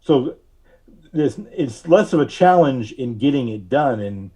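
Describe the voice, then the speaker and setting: A man giving casual interview answers as a podcast guest, with hesitant, measured phrasing. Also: medium pitch, animated, dark tone, husky. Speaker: podcast guest, writer and filmmaker.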